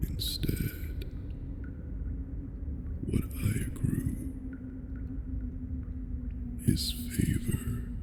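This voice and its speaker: A performer voicing an ancient, imperious, seductive dragon master with a burned, gravelly vocal fry, in an ASMR roleplay. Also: rumbling low, full character voice. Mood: sly, smug. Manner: slow, commanding, condescending, teasing.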